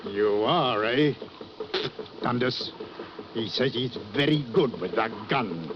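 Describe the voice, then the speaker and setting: A gruff, teasing skipper in an old radio play, muffled tape with clear hiss. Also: male voice, medium pitch, animated, slow. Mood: wary. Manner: blunt and brusque, teasing. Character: blunt older fishing-boat captain, gruff uncle. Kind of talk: 1940s radio acting.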